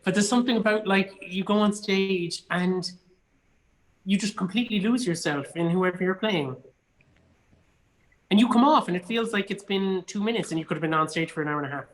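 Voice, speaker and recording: A man's voice: sits high, brisk, low-quality recording. A man from Cork with an Irish accent, an actor chatting casually and telling anecdotes as an interview guest. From Cork, Ireland.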